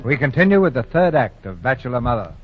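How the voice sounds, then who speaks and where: A male host giving formal, measured narration for a radio drama, on an old 1940 broadcast. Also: medium pitch, sing-song, muffled recording, over loud music.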